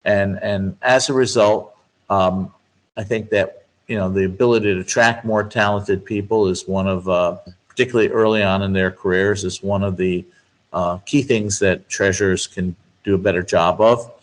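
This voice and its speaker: Low-voiced man explaining business matters. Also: fairly even intonation, moderate pace, rough recording. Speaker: guest speaker, finance expert. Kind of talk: interview answers, explanatory business talk.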